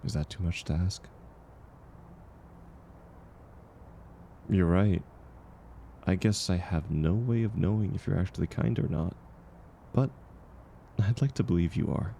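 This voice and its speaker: A man acting a kind, gentle stranger in an intimate audio roleplay, speaking reassuringly and unhurriedly to the listener. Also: sits low, gravelly, a little hiss or hum. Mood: gentle, sincere. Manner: soft-spoken, reassuring, unhurried. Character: kind, gentle man, once homeless, sharing dinner with a stranger.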